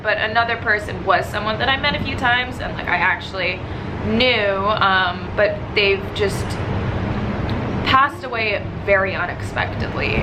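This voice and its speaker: A woman's voice: a little high, noisy background. A stuffy, congested young woman talking candidly in a casual vlog, rambling and heartfelt with many fillers. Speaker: gaming video creator.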